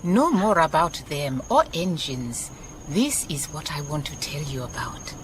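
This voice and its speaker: An actress playing a warm, wise woman detective with a Southern African accent in a radio drama, speaking in a measured, emphatic way. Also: low-pitched, lively intonation. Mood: proud, moved. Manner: unhurried, measured, emphatic repetition. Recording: noticeable background noise, chirping night insects.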